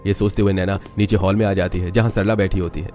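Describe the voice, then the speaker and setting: A man's voice: low voice, level tone, fast talker, mellow, soft background music. A man narrating a scripted family story as its storyteller.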